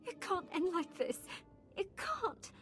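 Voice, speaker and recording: A woman's voice: very high-pitched, big pitch swings, slow, noisy background, heavily acted. An actress playing a proud, defeated young Sith noblewoman in a video game, disbelieving and desperate. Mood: desperate, pleading. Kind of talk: dramatic game voice acting.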